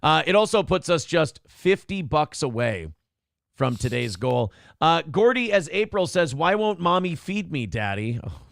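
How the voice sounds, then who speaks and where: A brash, profane male talk show host with a gravelly, slightly high voice. He riffs loosely on politics and reads out viewer messages. The sound is dry and close. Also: sing-song, normal speed, a bit bright, dry recording.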